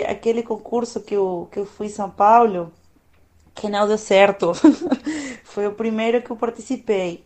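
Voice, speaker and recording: A woman's voice: mid-range voice, darkish tone. Reflective woman, Spanish native speaker. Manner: reflective, unhurried, often pausing to find words. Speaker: opera singer, guest. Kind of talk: casual conversation.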